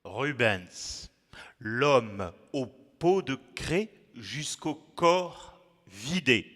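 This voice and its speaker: A man reciting his own poem theatrically, with dramatic pauses and sudden swings between hushed and loud delivery. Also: medium pitch, expressive. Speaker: poet performing his own text. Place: large echoing museum gallery, indoors. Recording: reverberant hall echo.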